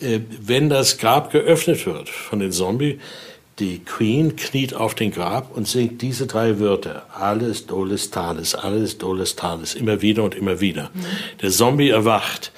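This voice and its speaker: A man giving an informal spoken explanation as an expert guide, often adding short tag questions to check agreement. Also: on the low side. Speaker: expert guide showing a collection.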